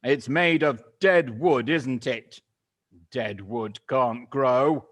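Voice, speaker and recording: A man voicing a cruel, gloating old husband who taunts slowly and mockingly. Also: mid-range voice. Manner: slow, drawn-out and mocking.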